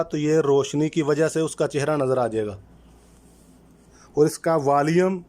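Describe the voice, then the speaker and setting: A man with a slightly high voice walks through a technical installation one step at a time. He is methodical and instructional, restating key terms so each step is clear. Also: a little mellow. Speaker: electrician presenting a how-to channel. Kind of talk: step-by-step product installation tutorial.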